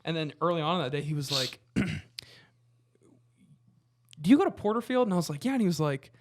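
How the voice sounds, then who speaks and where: A man chatting casually as a podcast co-host, telling stories in an informal, halting way and acting out the dialogue. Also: on the high side, very animated, a little hiss or hum. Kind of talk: casual conversational storytelling.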